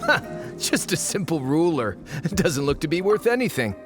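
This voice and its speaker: A man's voice: fairly high pitch, big pitch swings, moderate pace. An actor voicing a greedy, scornful male treasure hunter who talks bluntly. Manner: blunt, scoffing. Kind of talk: video game voice acting. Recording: game audio with loud music.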